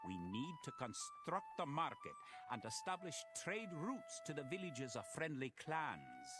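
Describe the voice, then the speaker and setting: An actor playing a grave, resolute medieval Scottish soldier, narrating a war in a dramatic, theatrical storytelling style for a game cutscene. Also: male voice, a little high, big pitch swings, unhurried. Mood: proud, stirring. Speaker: campaign narrator. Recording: music under the voice.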